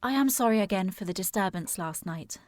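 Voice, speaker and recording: A woman's voice: medium pitch, lively intonation, fairly quick, darkish tone, dry recording, natural voice. An actress playing a polite, earnest and determined young lady in a period audio drama, with formal speech. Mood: composed, courteous. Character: distressed, polite, determined young lady searching for her sister.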